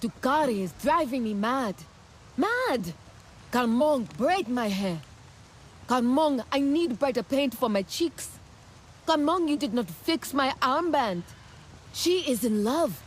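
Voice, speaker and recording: An exasperated young clanswoman from an alien world, with a highish, very lively pitch. She complains and schemes with dramatic emphasis, as game dialogue, over faint ambient noise. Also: takes it slowly. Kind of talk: video game dialogue acting.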